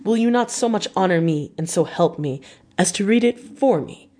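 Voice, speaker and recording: A woman's voice: medium pitch, animated, normal speed, dark tone, close to an everyday voice. Woman acting effusive old male doctor. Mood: grateful. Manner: emphatic, effusive, in broken formal English.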